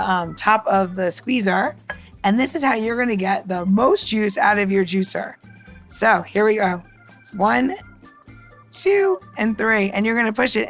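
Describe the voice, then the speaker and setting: A woman's voice: mid-range voice, a bit bright. A chatty woman co-hosting a podcast, talking casually and directly to the listener as if demonstrating. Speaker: podcast co-host giving bar tech tips.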